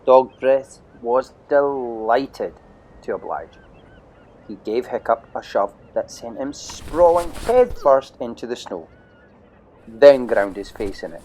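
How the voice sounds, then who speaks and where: A man narrating a children's storybook audio play with lively, expressive storytelling. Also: mid-range voice, slow pace, breathy edge, low-quality recording.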